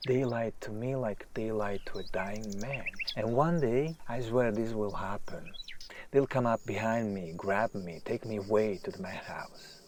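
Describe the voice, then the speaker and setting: An actor playing an anguished, self-doubting writer in an audio drama, intense and brooding, moving between hushed and emphatic delivery. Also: male voice, fairly low pitch, a little slow, lightly acted. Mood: agitated. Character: anguished, self-doubting famous male writer, restless and self-deprecating. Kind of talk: naturalistic audio drama monologue. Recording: clear background hiss, outdoor nature ambience.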